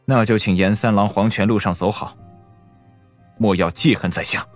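A man's voice: fairly low pitch, steady tone, moderate pace, soft background music, close to an everyday voice. A man acting a cold, stern imperial swordsman. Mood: cold, grim. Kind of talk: Chinese period audio drama acting.

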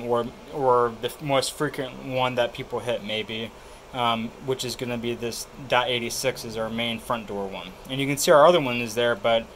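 A man's voice: medium pitch, a bit bright. Casual male tech presenter over background hum. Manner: casual, plain step-by-step explaining. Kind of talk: hands-on product walkthrough.

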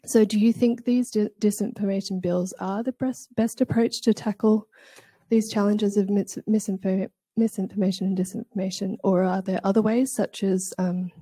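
Dark-voiced woman asking from audience. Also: mid-range voice, fairly even intonation, moderate pace, dry recording. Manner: hesitant, restarting words, many fillers. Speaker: audience member asking a question. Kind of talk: audience question at a panel. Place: conference room.

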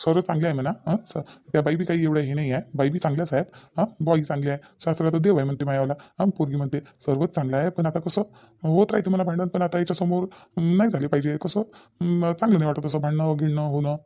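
A man's voice: fairly high pitch, fairly even intonation, fast talker, dark tone, lightly acted. A man playing a concerned middle-aged village father in a comedy cartoon, reasoning earnestly with questioning tags, in a rural Vidarbha Maharashtrian accent. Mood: earnest, worried. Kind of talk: Marathi comedy cartoon dialogue.

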